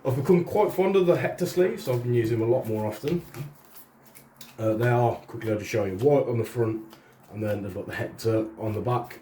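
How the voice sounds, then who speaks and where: A man with a nasal, raspy voice who is under the weather, hosting and casually explaining a tabletop game. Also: mid-range voice, brisk, mellow, slight background noise. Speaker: host presenting a tabletop battle report. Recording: roomy, distant mic.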